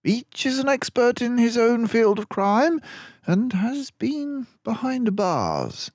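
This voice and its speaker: A man voicing a confident, gentlemanly detective mouse in a children's storybook, theatrical and self-assured. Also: very high voice, big pitch swings, takes it slowly, dry recording, voice pushed up, full character voice. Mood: decisive. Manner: theatrical, self-assured, mannered turns of phrase.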